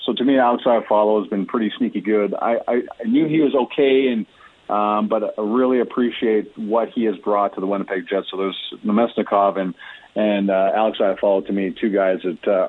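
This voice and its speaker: A man's voice: on the low side, brisk, no room sound. A man talking through hockey analysis conversationally as a guest reporter, often restarting his phrases as he goes. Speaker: hockey team reporter and podcaster, radio guest. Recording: dull lo-fi radio call-in audio.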